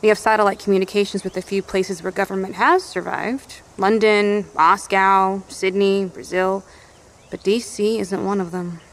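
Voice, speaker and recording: A woman's voice: medium pitch, steady tone, normal speed, lightly acted. A woman playing a plain-spoken, no-nonsense survivor in charge of an outpost, blunt and folksy in an audio drama. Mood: matter-of-fact.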